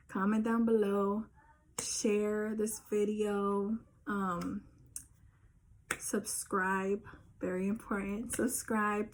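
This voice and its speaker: A woman's voice: medium pitch, slight background noise. A young woman telling viewers a personal story in a casual, earnest way, talking straight to her audience. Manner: earnest, insistent, addresses viewers directly. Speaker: YouTuber.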